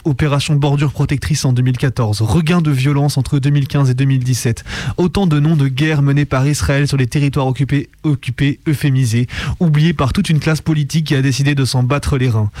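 A man's voice: mid-range voice, darkish tone, no echo. A man reading a scripted political editorial on the radio, grave and indignant, in clipped phrases. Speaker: radio show presenter.

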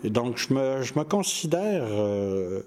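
An older man, a storyteller who talks in a relaxed interview and pauses often with many fillers. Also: mid-range voice. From Quebec, Canada. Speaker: storyteller and festival organizer.

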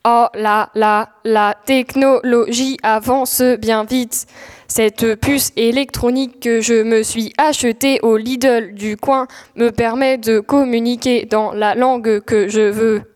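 A woman's voice: a little high, fairly even intonation, slow, a little mellow. A teenage girl playing an earnest, courtly, oblivious knight in love, in a comic audio drama. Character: earnest, lovestruck, oblivious knight Don Quixote. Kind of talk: comic audio fiction acting. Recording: echoey hall reverb.

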